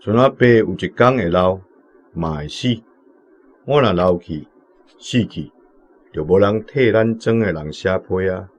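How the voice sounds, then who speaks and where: A man's voice: sits low, unhurried, long pauses, highs cut off. A man playing an earnest, middle-aged village letter-writer in a radio drama, with a Taiwanese accent and an instructing manner. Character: respected village letter-writer uncle near fifty, earnest elder.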